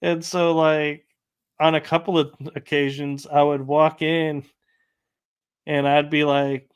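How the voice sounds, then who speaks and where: A man's voice: on the high side, flat delivery, takes it slowly, clean tone. A man hosting a casual interview, telling rambling anecdotes with light laughs. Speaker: podcast host.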